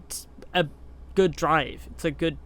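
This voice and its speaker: A man's voice: a little high, fairly even intonation, a little slow, a bit bright. A young man with a cold, chatting casually over a truck driving game, rambling with fillers and restarts. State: has a cold. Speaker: gaming YouTuber. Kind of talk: casual gameplay commentary.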